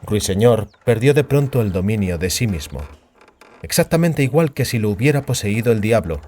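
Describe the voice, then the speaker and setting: A man's voice: lowish voice, expressive, moderate pace, a little mellow. A man narrating a fantasy audiobook in a scripted, dramatic storytelling style. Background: music.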